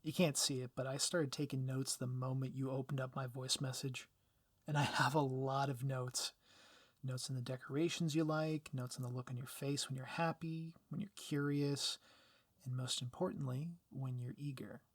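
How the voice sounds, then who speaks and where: A man playing a reformed villain turned sappy, dorky sweetheart in an ASMR roleplay, speaking softly and intimately with gentle teasing. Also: medium pitch, flat delivery, normal speed, no echo, lightly acted. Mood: teasing, tender.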